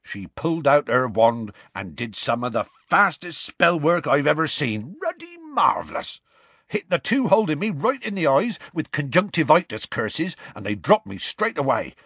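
Huge gruff bloke for an audiobook, raspy, rambly, warm hearted, really animated. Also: male voice, a little high, normal speed, dry recording, pitched above the performer's own voice, heavily acted. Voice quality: gruff, growly. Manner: colloquial, rambling, dropped consonants. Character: big gruff rustic man, warm-hearted, indignant storyteller.

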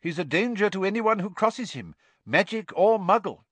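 A pompous middle-aged official, irritable and flustered, voiced by a male narrator lifted well above his usual pitch. He sounds self-important and put upon. Close, dry sound. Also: animated, normal speed, a bit bright, no room sound.

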